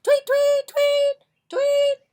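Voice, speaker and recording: Woman as storybook policeman: excitable, urgent, loud, pitched very high. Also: extremely slow, exaggerated voice. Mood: shrill. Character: excitable male policeman with whistle, urgently phoning headquarters.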